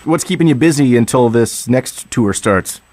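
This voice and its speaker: A man's voice: mid-range voice, lively intonation, moderate pace, darkish tone. A man hosting a radio interview, asking questions in a conversational way. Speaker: radio host.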